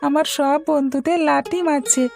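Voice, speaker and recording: A woman's voice: sits high, steady tone, normal speed, vocal fry, a little mellow. A woman voicing an eager, chatty, pouty young village boy in a cartoon story, childlike and argumentative. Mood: pleading. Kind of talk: Bengali cartoon story acting. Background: music.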